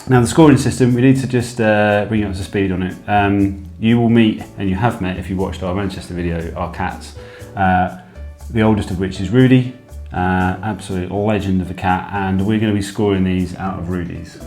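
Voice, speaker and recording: A low-pitched man talks casually through a snack tasting. He hesitates and fills with ums as he goes. His voice rings in an echoey room with music playing underneath. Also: fairly even intonation, moderate pace, few pauses, a little mellow. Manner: chatty, hesitant, with lots of ums. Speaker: co-host. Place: home room.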